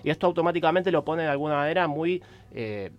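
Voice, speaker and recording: A man with an Argentine Rioplatense accent explaining history on the radio, didactic, with halting pauses and emphatic stresses. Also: a little high, animated, muffled recording.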